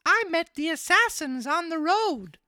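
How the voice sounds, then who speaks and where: A woman voicing a naive, excitable wooden marionette boy with an earnest, childlike delivery in a storybook reading. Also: very high voice, unhurried, no echo, studio quality, pitched above the performer's own voice, heavily acted. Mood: aggrieved, breathless.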